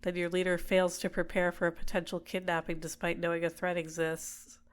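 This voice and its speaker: A woman performs a manipulative villainess for an ASMR roleplay. Her voice sits on the low side, soft and deliberate, soothing her captive with possessive tenderness and gentle coaxing. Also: flat delivery, clean tone, natural voice. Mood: sympathetic, insinuating. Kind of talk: ASMR roleplay, intimate personal attention.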